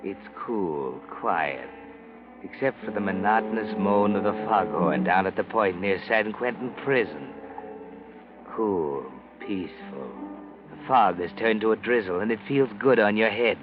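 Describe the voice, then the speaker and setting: An actor playing a sinister, all-knowing storyteller in a 1940s radio mystery, narrating in an ominous, deliberate, dramatic way. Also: male voice, lowish voice, expressive. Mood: hushed, eerie. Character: sinister, omniscient male storyteller who walks by night. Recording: dull 1940s radio recording. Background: loud dramatic music.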